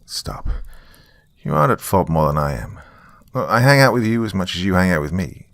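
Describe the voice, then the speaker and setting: A man's voice: deep voice, expressive, brisk, fairly bright tone, no room sound, studio quality. A man voicing a blunt, self-assured teenage boy, a loyal friend, in a narrated story. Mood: firm, reassuring.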